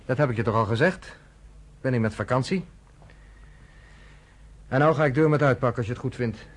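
An actor playing a sardonic, self-assured journalist in a Dutch radio drama, with a dry, conversational, probing manner. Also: male voice, medium pitch, normal speed, lightly acted. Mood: sardonic, defiant.

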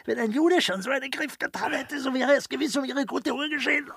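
An actor playing a coarse, bigoted, sly rogue who poses as a servile simpleton in a German radio comedy, ranting and blustering. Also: male voice, very high-pitched, a little fast, creaky, a bit bright, no room sound, studio quality, heavily acted. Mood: sly, obsequious. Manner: ranting, blustering, mock-obsequious.

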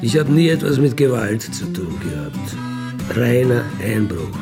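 Slow, gravelly Austrian man telling his story. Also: medium pitch, very animated, over loud music. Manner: matter-of-fact, unapologetic, colloquial. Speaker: former burglar telling his life story. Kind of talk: casual first-person storytelling in a radio feature.

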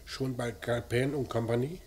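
A probing male police inspector, played by an actor in an old radio crime play. He speaks at a middle pitch in short, businesslike questions. The recording is old, with faint noise behind him. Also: fairly even intonation, moderate pace, a little mellow, close to an everyday voice. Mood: probing, insistent. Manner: terse, questioning, clipped. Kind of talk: mid-century German radio crime drama acting.

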